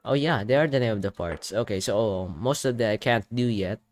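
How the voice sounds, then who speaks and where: A young man giving casual game reaction commentary, excitable and thinking aloud. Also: lowish voice, brisk, slight background noise. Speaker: gaming YouTuber. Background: game music.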